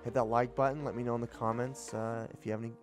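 A man's voice: medium pitch, level tone, quick pace, music in the background. A young man, a home improvement vlogger, chatting casually through his tiling job with tips and frank admissions of his own mistakes. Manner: chatty, self-critical, explaining tips. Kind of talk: casual DIY voice-over. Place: small basement bathroom, indoors.

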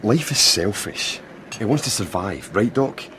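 Resolute polar expedition leader, low-pitched, commanding, clipped phrases, radio drama, noisy atmosphere. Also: male voice, measured pace. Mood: grim, controlled. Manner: terse, commanding. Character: grim, resolute man leading a trapped Antarctic research crew. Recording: noisy drama soundscape, steady ambience.

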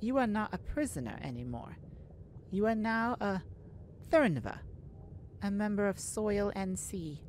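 Woman as a slow, regal mermaid queen. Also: medium pitch, animated, darkish tone, slight background noise, close to an everyday voice. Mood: dignified, reassuring. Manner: slow, formal, regal, deliberate. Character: stately, merciful mermaid leader and enchantress. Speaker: audio roleplay performer. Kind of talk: scripted fantasy audio roleplay.

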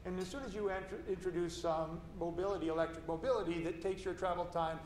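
Middle-aged male panelist, emphatic and earnest, slightly high voice, roomy hall from a distance. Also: steady tone, fast, darkish tone, slight background noise. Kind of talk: panel discussion. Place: conference stage, indoors.

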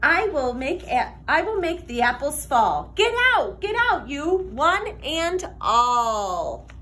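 A young woman reading a children's picture book aloud like a teacher, expressive, sing-song and deliberate. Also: sits high. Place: classroom, indoors.

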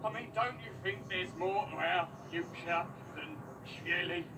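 A man playing an elderly, glum mad scientist in an animated film, hesitant and dry in manner. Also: sits high, measured pace, background noise. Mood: wistful, hesitant. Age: older. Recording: distant screen capture with film music.

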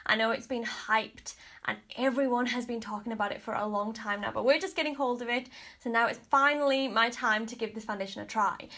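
A woman's voice: fairly high pitch, a little fast, bright, forceful tone. A woman in her thirties with an Australian accent, sounding stuffy and congested, chatting frankly through a makeup review at her home vanity. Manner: chatty, frank, often hedging with kind of and like. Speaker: beauty vlogger. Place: home bedroom vanity with mirror lights, indoors.

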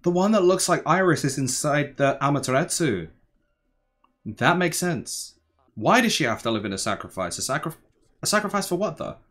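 A young man giving casual, slangy reaction commentary, musing aloud in a laid-back, tired, low-energy way. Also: very animated, normal speed, fairly bright tone. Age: young adult. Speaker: anime reaction host. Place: small bedroom, indoors.

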